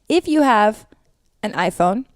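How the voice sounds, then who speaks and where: Loud, animated young American woman podcaster. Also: fairly high pitch, measured pace, no echo, studio quality. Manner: animated storytelling, swears freely. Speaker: podcast co-host. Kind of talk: casual unscripted podcast chat.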